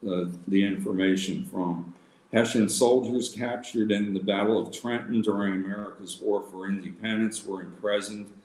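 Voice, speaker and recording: Low-voiced man in an echoey room. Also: darkish tone. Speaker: historical society president reading a paper. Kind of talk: informal read-aloud presentation. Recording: roomy echo with faint background noise.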